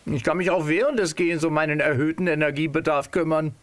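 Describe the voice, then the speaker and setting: High-pitched cheeky boy adventurer played by a man. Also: fairly even intonation, fast talker, a bit bright, a little hiss or hum, close to an everyday voice.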